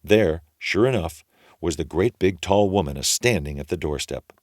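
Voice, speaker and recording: A man's voice: low voice, animated, moderate pace, dry recording, studio quality. A man narrating a children's fairy tale as a storyteller, in a folksy, colloquial manner.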